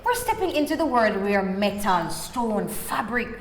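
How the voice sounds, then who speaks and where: A woman hosts with an upbeat, emphatic delivery. Her voice sits a little high and swings widely in pitch. A reverberant hall gives it a long, roomy echo. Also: big pitch swings, unhurried, slight background noise. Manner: upbeat, reads the intro with emphasis. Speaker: podcast host. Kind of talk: podcast interview hosting.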